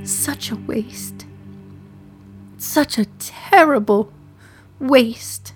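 A condemned wistful wife. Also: female voice, fairly high pitch, faint music behind, poor audio. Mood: grieving. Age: 30s. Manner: slow reflective delivery, wry humor. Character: condemned woman in her thirties, wistful, defiant, wry. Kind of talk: radio play monologue.

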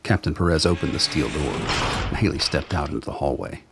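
A man's voice: animated, a little fast, very noisy. A man delivering scripted narration for a radio drama as its storyteller. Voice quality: very deep, husky.

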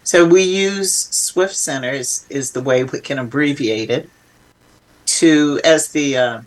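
A woman's voice: a bass voice, slow. An older woman speaking as an interview guest, reflective and emphatic in a conversational way. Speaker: interview guest, filmmaker and nonprofit founder.

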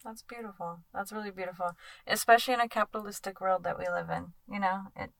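A woman's voice: medium pitch, steady tone, fast, gravelly, a bit bright, dry recording. Affirming woman host, dry close sound. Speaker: podcast host. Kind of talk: casual interview hosting.

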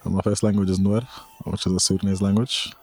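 A man with a lowish voice and very flat intonation answers interview questions casually. He is tentative, feeling his way through each thought. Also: fast talker, husky. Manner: tentative, hedging with "like" and "maybe". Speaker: refugee interviewee.

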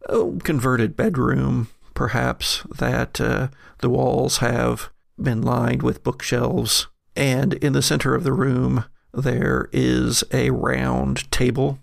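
Creaky-voiced male game master, dry sound. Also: mid-range voice, no echo. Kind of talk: improvised horror narration for a tabletop game.

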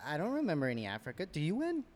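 High-voiced, spoiled young boy. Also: wildly swooping pitch, very fast. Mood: feigned innocence. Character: spoiled young boy, evasive and quietly menacing son. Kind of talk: radio play acting. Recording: long reverb, music behind.

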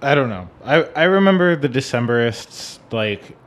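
A young American man co-hosting a podcast, talking casually about music in a halting, hedging way. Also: mid-range voice, expressive. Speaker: podcast co-host.

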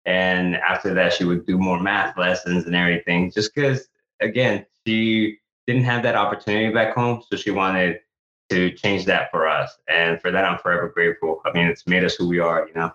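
A man from El Salvador with a very deep voice tells his story earnestly, often restarting his sentences. The sound is echoey and a little dull, like a room heard over a remote call. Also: flat delivery, moderate pace, pushed and bright. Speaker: guest, actor and author. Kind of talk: conversational interview storytelling.

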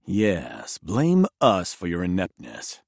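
A man's voice: deep voice, huge pitch swings, slow, creaky, no room sound, studio quality, full character voice. A man playing a proud, scornful villainous envoy in an audio drama, speaking coldly, formally and defiantly. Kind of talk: scripted audio drama acting.

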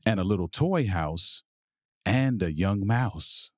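A man hosting a podcast and reading a children's picture book aloud in a measured, deliberate bedtime-story delivery. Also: deep voice, expressive, dry recording, studio quality.

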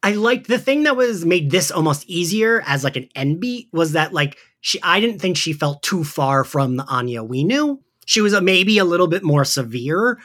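A man's voice: a little high, big pitch swings, moderate pace, hardly any pauses, a bit bright. A man co-hosting a podcast in casual fan chat, rambling along and saying "like" often. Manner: chatty, rambling, heavy use of "like". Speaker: podcast co-host.

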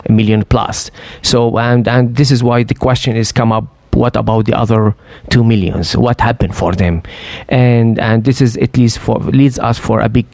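A middle-aged man with a Syrian Arabic accent, telling his story as an interview guest, halting with many fillers and restarts. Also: medium pitch, gravelly, a little mellow, no echo. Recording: dry studio.

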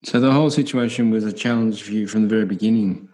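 A man interviewing a guest, asking steady, prompting questions. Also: lowish voice, fast, poor audio.

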